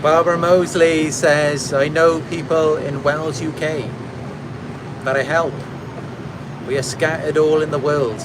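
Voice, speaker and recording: Welsh man, unhurried, noisy background. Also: on the high side, level tone. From Wales. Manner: reflective, unhurried, with fillers and restarts. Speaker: channel host reading viewer comments. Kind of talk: casual talk to camera.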